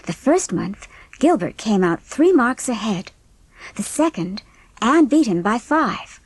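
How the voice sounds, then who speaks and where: A woman narrator reading a scripted storybook in a measured, expressive way. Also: on the high side, breathy edge, no echo.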